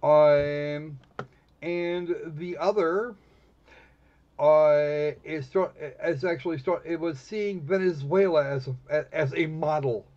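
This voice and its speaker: Snarky higher-voiced man on a lo-fi stream. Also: a little mellow. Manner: snarky, halting with pauses. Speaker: livestream host. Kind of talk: casual live commentary on a visual novel. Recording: dull lo-fi microphone sound.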